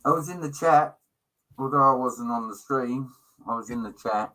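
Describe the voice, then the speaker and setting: A man's voice: mid-range voice, moderate pace, sharp tone, poor audio. A middle-aged British man in casual livestream chat, talking in a chummy, opinionated way with slang and swearing. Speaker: livestream co-host.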